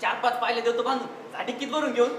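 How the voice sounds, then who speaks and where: A man's voice: extremely high pitch, steady tone, very fast, a bit bright. A man playing a talkative, teasing farmer uncle in a rustic, colloquial stage play role. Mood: jovial. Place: theatre stage at a youth festival. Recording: echoey stage hall with faint noise.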